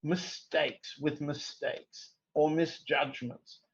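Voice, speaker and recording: A man speaking as an interview guest, giving political analysis in deliberate, measured phrases on lo-fi audio. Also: on the high side, fairly even intonation, creaky, a loud crowd.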